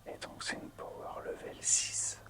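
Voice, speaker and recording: Whispering man, ASMR host. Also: unhurried. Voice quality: breathy, unvoiced whisper. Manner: hushed, hesitant, appreciative. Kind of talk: whispered ASMR, casual card showcase.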